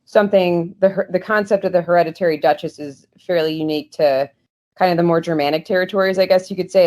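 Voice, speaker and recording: Earnest woman explaining history. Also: fairly low pitch. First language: English. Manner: earnest and explanatory, with small restarts. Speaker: guest historian. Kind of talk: podcast conversation, explaining history.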